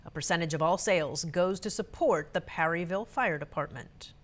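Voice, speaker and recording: Female news reporter, slightly low voice, scripted local-story narration. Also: a little fast, slight background noise.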